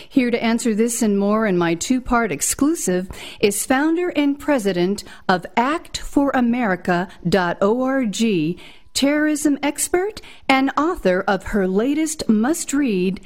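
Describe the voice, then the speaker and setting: A woman's voice: fairly high pitch, darkish tone. A woman hosting a talk show, reading a dramatic introduction and interviewing her guest with emphatic delivery. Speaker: show host.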